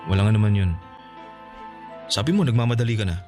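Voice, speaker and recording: A man's voice: fairly low pitch, animated, quick pace, a little mellow, soft background music, lightly acted. A Filipino actor playing a pleading, self-serving boyfriend and father in a radio drama. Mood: insistent. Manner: coaxing, insistent. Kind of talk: Tagalog radio drama acting.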